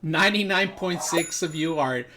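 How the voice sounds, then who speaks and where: A man's voice: on the high side, moderate pace, background noise. A middle-aged man giving a casual product demonstration as a reviewer, explaining things in an informal, rambling way.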